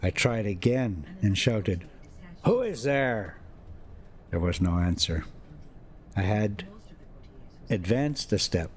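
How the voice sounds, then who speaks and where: Slow, low-voiced man reading aloud. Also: expressive. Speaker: host reading a classic story aloud. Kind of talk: audiobook-style story reading with casual asides.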